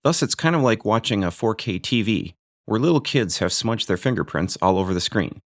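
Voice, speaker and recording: Guy w a lowish voice doing a scripted gear review, dry jokey asides. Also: expressive, fairly quick. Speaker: video host reviewing gear.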